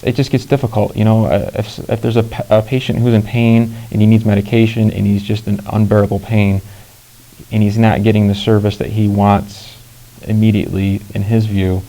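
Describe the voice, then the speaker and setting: A man with a low-leaning, very even and creaky voice reflects in an interview. He speaks informally and haltingly, in a relaxed, dark tone. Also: rough recording. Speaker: veteran and interviewee.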